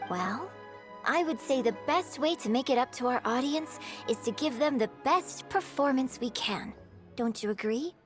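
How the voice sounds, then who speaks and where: A woman voicing a poised, polite young female opera performer in a video game, measured and earnest. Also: sits high, animated, soft background music. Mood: earnest, composed.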